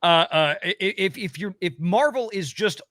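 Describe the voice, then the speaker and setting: A man with a high, bright voice reacts live as a commentator. He talks casually and sounds scornful and incredulous, in a close, dry recording. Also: expressive, normal speed, dry recording.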